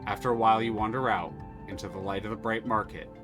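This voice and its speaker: A man's voice: on the low side, steady tone, quick pace, over music. A man streaming a story game, reading its text aloud and chatting casually, swearing freely.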